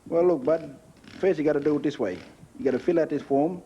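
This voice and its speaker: A man's voice: a little high, brisk, warm tone, dull audio, slight background noise, close to an everyday voice. A man playing a patronising, cocky job office official in a satirical radio play, matey and slangy.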